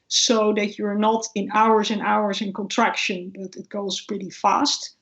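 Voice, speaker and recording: A woman, a guest researcher telling stories in an informal interview with animated, acted-out examples. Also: mid-range voice. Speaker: guest ethnobotanist. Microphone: video call. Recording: dull, lo-fi video call.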